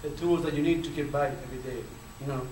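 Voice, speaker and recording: A man's voice: medium pitch, steady tone, fast, a little mellow. A man from Chile with a Chilean Spanish accent tells his personal story as a panelist, speaking with a stutter and many fillers. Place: lecture hall. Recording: echoey hall from far off, faint noise.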